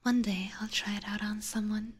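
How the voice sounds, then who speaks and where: A woman doing casual ASMR chat in a soft, breathy whisper close to a binaural mic, informal and hesitant, chatting to viewers. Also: mid-range voice, fairly even intonation, moderate pace. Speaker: ASMR streamer and host. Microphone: close binaural ear microphone.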